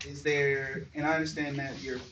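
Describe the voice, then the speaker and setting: A man's voice: medium pitch, flat delivery, normal speed. Man asking from the floor, distant mic. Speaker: audience member asking questions. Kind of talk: casual question from the floor. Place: event room with audience. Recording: distant mic, faint room noise.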